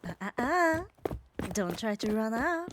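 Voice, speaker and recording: A woman's voice: a little high, very animated, measured pace. Woman acting firm, caring muscular yandere, faint noise. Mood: firm, teasing. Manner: coaxing, firm, tender reassurance. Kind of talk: ASMR roleplay audio drama.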